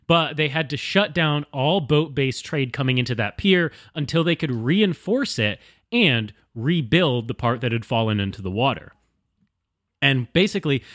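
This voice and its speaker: A man's voice: mid-range voice, fairly bright tone, dry recording, clean studio sound. A man co-hosting a podcast, telling a story in a casual, wry, profane way.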